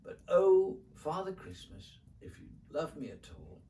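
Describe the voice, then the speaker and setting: A man's voice: sits high, sing-song, unhurried, dark tone, distant mic, slight background noise, pitched above the performer's own voice, full character voice. A man voicing a lonely, petulant, hopeful king in a storybook poem, with pleading, emphatic, sing-song delivery. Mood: anxious, hopeful. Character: lonely, childish, petulant old-time king, hopeful and self-pitying.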